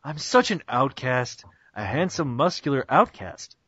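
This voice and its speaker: A man's voice: mid-range voice, very animated, measured pace, a bit bright, slightly rough, no room sound, lo-fi sound, pitched below the performer's own voice, full character voice. A man voicing a brooding, self-pitying young superhero with melodramatic delivery, in an amateur cartoon reading over an internet call. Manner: melodramatic inner monologue.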